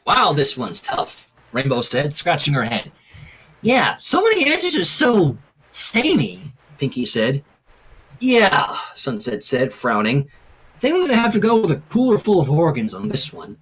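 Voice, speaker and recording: Animated husky man reading a story aloud. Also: a little high, unhurried, poor audio. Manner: expressive, acts out dialogue while narrating. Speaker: fanfic reader and stream co-host. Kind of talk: animated storytelling read aloud with casual chat.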